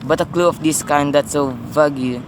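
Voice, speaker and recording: A man's voice: fairly high pitch, fairly even intonation, moderate pace, lightly acted. A man playing a weary, dismissive police sergeant in a radio play, matter-of-fact and patronising. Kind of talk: radio play dramatic acting. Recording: noticeable background noise.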